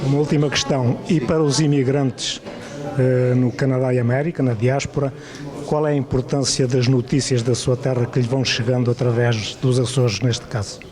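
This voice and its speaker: Male reporter, medium pitch, asking interview questions over clear crowd noise. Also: fairly even intonation, moderate pace. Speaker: journalist interviewer. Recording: busy crowd in the background.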